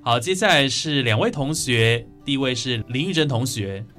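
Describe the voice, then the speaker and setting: A man's voice: mid-range voice, expressive, normal speed, pushed and bright. Man, Taiwanese Mandarin accent, radio host, mid-range voice, interview style, faint music.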